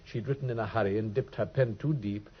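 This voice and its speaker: An actor playing a brilliant, dryly teasing detective in a vintage radio drama, speaking with crisp, precise wit. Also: male voice, fairly low pitch, fairly even intonation, a little fast. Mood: wry. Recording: dull old radio recording.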